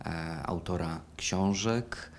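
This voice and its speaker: A middle-aged man from Poland hosting an interview, asking questions in halting, conversational phrases. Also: low voice. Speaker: interviewer, host. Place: furnished living room, indoors.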